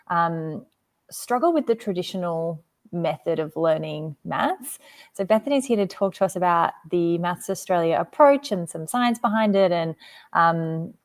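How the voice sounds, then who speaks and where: A woman hosting an online summit, giving an enthusiastic welcoming introduction to her guest. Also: on the low side. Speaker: host.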